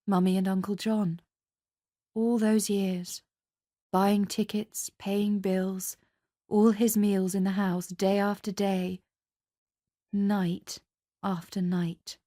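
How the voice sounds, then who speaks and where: A woman's voice: mid-range voice, level tone, slow, long pauses, dark tone, dry recording, studio quality. A woman reading a dramatic scripted story as narrator. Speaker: narrator and reader.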